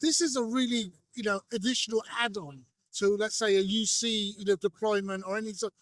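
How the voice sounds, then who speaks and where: High-voiced man interviewing casually. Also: fairly quick, a bit bright, dry recording. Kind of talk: casual trade show interview. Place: trade show exhibition hall.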